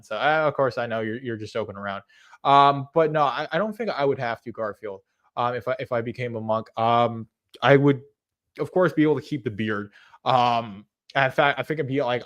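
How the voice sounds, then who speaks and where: A man, hosting a live stream in casual chat, rambling and hesitant with many fillers and restarts, earnest and softly amused as he answers chat. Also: medium pitch. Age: 20s. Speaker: livestream host.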